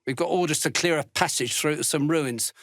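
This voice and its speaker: An actor playing a gruff, callous dark dwarf soldier and zealot in a video game, sneering and threatening in a gravelly voice. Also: male voice, fairly high pitch, fairly quick, no echo, studio quality, close to an everyday voice. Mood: gruff, businesslike. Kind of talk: video game character voice lines.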